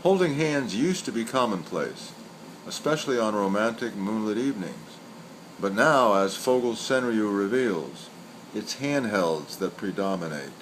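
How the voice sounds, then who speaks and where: A man giving a scripted literary reading of short poems and commentary, reciting in a deliberate, measured way. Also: fairly low pitch, rough recording. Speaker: poet reading aloud. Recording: steady background hiss or hum.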